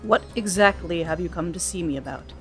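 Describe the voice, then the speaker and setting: A woman voicing a gruff, stern older male paladin who teaches a young apprentice, with a growling way of speaking. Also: on the low side, brisk, a bit bright, faint music behind.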